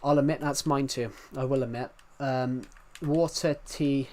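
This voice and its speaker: A man's voice: medium pitch, steady tone, measured pace, darkish tone. Chatty young British man with thick accent. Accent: British regional. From United Kingdom. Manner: rambling, many restarts, chatty and candid. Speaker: live streamer. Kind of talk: casual chat with live viewers.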